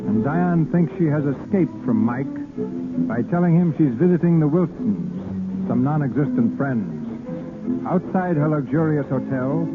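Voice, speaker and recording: Male radio narrator over loud music. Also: on the high side, animated, unhurried. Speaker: program host narrator. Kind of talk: 1930s scripted drama narration. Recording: narrowband 1930s radio, loud music behind.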